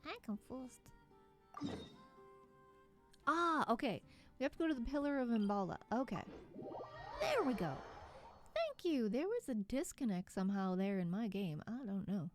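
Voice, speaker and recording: A woman's voice: on the high side, big pitch swings, moderate pace, pauses often. A woman streamer giving casual commentary as she plays, chatty and muttering to herself while she works through puzzles. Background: game music.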